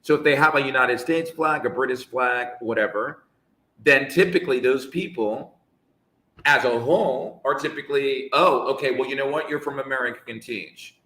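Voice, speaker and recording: A man speaking in a casual live conversation, measured and emphatic, making points with rhetorical questions. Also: medium pitch, pushed and bright, echoey room, rough recording.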